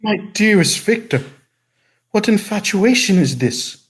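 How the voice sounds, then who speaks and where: A man's voice: high-pitched, expressive, unhurried. A man playing a distressed, loving elderly father who entreats in a formal, emphatic way. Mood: alarmed pleading.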